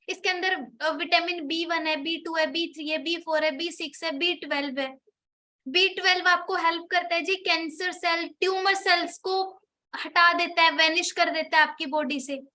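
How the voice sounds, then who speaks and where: High bright-voiced young woman selling. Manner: chatty, persuasive, asks the listener questions. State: slightly sore throat from a cold. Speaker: product seller explaining products. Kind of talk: persuasive product talk.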